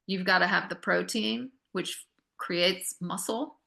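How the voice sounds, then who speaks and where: A woman's voice: fairly low pitch, slow, creaky, pushed and bright. A woman guest on a talk show, earnestly explaining food and health with emphatic lists. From United States. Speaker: guest nutrition expert. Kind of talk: interview conversation.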